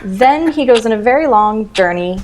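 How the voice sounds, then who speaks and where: A woman's voice: on the high side, expressive, moderate pace. A woman guesting on a podcast, chatting casually and comically in a wry way. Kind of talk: casual comedic chat. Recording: slight background noise.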